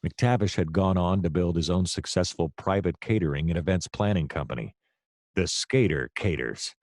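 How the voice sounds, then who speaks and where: An actor playing a hapless hard-boiled private detective, narrating his case in a wry, deadpan noir radio-play style. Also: sits low, moderate pace, dry recording, clean studio sound, natural voice.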